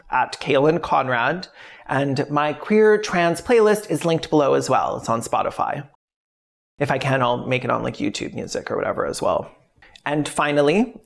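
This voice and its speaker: A woman host speaking directly to the audience in scripted narration, with informal wording. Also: sits low. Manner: informal, sweary. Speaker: video essay creator and host.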